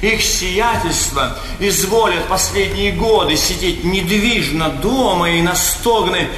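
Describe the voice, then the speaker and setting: An actor playing a courteous, inquisitive gentleman visitor in a theatrical stage comedy. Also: sits high, lively intonation, slow, full character voice. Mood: curious, coaxing. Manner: courteous, prying. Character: inquisitive genteel gentleman visitor, politely prying. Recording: echoey theatre stage recording, faint noise.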